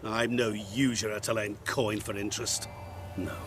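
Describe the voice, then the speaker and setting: An actor playing a suave, sinister merchant in a video game, speaking in an eloquent, deliberate, theatrical way with sly courtesy. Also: male voice, on the low side, level tone, noisy background, natural voice. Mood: guarded, coaxing. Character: suave, sinister male merchant with hidden powers. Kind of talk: video game character acting.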